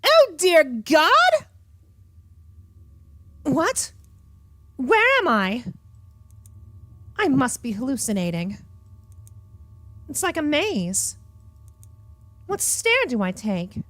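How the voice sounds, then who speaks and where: Woman acting a high, frantic captive woman. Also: wildly swooping pitch, drawn-out words, pauses often, a bit bright, no echo, heavily acted. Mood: panicked. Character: panicked drugged female captive narrating her escape.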